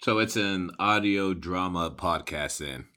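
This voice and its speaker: A man with a very deep yet bright, punchy voice hosts a casual interview. He asks his questions informally and encourages his guest as they chat. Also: a little slow. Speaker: interviewer, podcast host.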